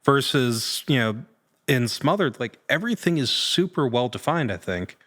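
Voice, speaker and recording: A man's voice: medium pitch, lively intonation, moderate pace, fairly bright tone. A man speaking as an actor guest on a podcast, casual and a little hesitant as he thinks aloud. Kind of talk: casual interview chat.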